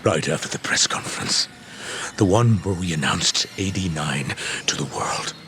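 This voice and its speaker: An actor playing an anxious, indignant doctor in a video game, halting and emotionally volatile. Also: male voice, low voice, lively intonation, noisy background. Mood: grim. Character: anxious, indignant male doctor and research chief. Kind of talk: dramatic video game acting.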